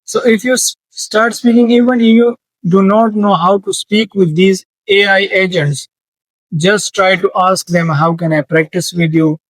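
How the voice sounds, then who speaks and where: Slow-talking, earnest male tutor. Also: high-pitched, fairly even intonation, dry recording, low-quality recording. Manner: slow, earnest, with repetitions and restarts. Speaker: English teacher. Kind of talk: informal teaching monologue.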